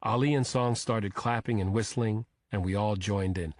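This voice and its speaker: A man narrates a first-person thriller audiobook in a low-pitched voice. The sound is dry and close, with no room around it. He reads scripted prose as a storyteller. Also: fairly even intonation, moderate pace, no room sound.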